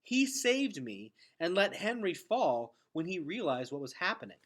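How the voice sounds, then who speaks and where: A man voicing a defiant, earnest young boy hero in a storybook, blunt, pleading and forceful. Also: high-pitched, sing-song, measured pace, voice pushed up, exaggerated voice. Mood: indignant.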